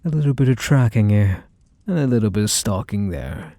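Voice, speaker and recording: A man's voice: fairly low pitch, sing-song, mellow, no room sound. A man playing a possessive, teasing mafia boss lover in an intimate ASMR roleplay, speaking in a mock-sweet, condescending way full of menacing affection. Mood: smooth, condescending. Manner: unhurried, mock-sweet, condescending pet names. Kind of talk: ASMR roleplay, intimate one-sided dialogue.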